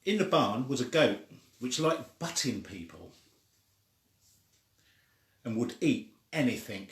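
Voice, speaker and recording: An older man reading a children's story aloud informally, with a halting, deliberate delivery. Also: mid-range voice, big pitch swings. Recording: echoey room.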